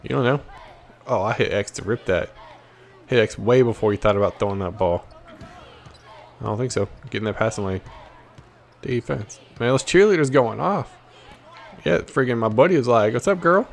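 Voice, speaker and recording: A man's voice: medium pitch, vocal fry. A man giving casual, slangy gameplay commentary, cocky and playful with plenty of trash talk. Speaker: gaming video host.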